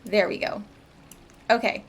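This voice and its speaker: A woman game streamer chatting casually and playfully with her live audience in an informal way. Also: a little slow. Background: game music.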